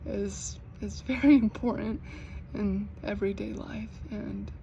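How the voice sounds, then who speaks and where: A young American woman who stutters, with repeated sounds and blocks, talking slowly and earnestly in a personal vlog. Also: medium pitch, fairly even intonation, gravelly, slight background noise. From United States. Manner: slow, earnest, gently encouraging. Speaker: vlogger.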